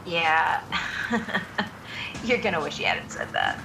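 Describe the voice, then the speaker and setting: A woman's voice: fairly low pitch, very animated, takes it slowly, creaky, heavily acted. An actress playing a tough, defiant young woman in an audio drama, sarcastic and scornful. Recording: noisy outdoor street ambience behind.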